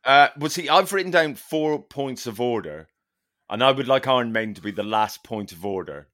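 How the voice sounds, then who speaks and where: A man's voice: medium pitch, animated, normal speed, fairly bright tone. A man co-hosting a podcast, teasing dryly in casual comic chat. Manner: dry, teasing, playful. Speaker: podcast co-host.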